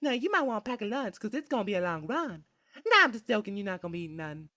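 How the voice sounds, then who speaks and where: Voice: medium pitch, very animated, quick pace, bright, forceful tone, no room sound, clean studio sound. A performer voicing a folksy, easygoing afterlife guide who talks in a chatty, teasing way in an anime parody dub.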